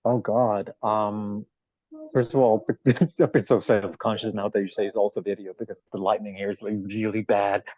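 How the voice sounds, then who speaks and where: A man's voice: lowish voice, little pitch movement, normal speed, darkish tone, dry recording, rough recording. A middle-aged man from Denmark, a native Danish speaker, chatting casually as a podcast guest in a rambling, self-interrupting way. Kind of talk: casual chat, travel anecdotes. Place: bar.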